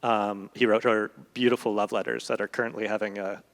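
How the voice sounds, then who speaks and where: A man's voice: on the low side, little pitch movement, fairly quick, creaky, fairly bright tone. Man giving a literary talk, echoey hall. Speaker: translator and guest speaker. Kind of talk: literary discussion before an audience. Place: large event hall, live public talk. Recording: echoey hall with long reverb.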